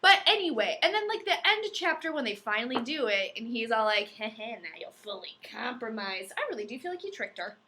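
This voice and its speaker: A woman co-hosting a podcast in casual chat, animated and full of quick asides. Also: a little high, noisy background. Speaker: podcast co-host.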